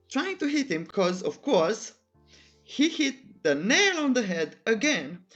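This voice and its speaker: A narrator reading a scripted comic recap in a playful, teasing, theatrical way, with humorous asides. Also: medium pitch.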